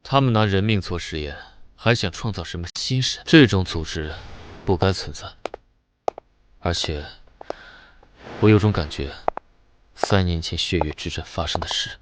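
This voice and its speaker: A man playing a cold, commanding superpowered avenger in a motion comic, speaking with calm, deliberate menace. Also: sits low, background noise, close to an everyday voice. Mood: grim resolve. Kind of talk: dramatic Chinese motion-comic voice acting.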